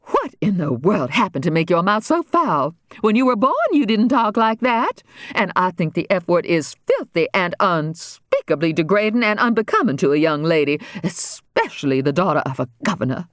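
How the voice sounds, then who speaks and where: A woman's voice: on the low side, big pitch swings, moderate pace, no room sound, studio quality, pitched above the performer's own voice. A woman voicing a proper middle-aged mother who scolds severely. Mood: scolding.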